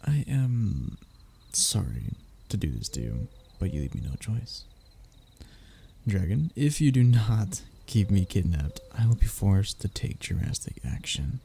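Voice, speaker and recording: A young prince acted by a man with a low, swooping voice, in an intimate fantasy roleplay. He talks in pompous, courtly phrases that keep tripping into flustered stammering, stubborn and lovestruck. There is faint noise behind him. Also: big pitch swings, measured pace, vocal fry, warm tone, heavily acted. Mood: defiant, nervous. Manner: pompous formal wording that falters into nervous stammering. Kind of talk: roleplay audio drama, addressed to the listener.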